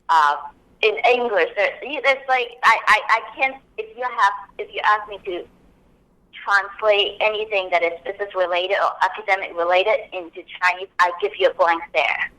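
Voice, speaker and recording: A woman's voice: mid-range voice, pushed and bright, poor audio. A woman from Hong Kong whose first language is Cantonese, a copywriting expert explaining things step by step as a podcast guest. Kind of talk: podcast interview.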